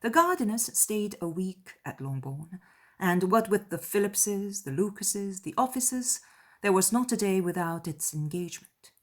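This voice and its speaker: A woman's voice: medium pitch, lively intonation, moderate pace. A woman reading scripted literary narration for a classic novel audiobook.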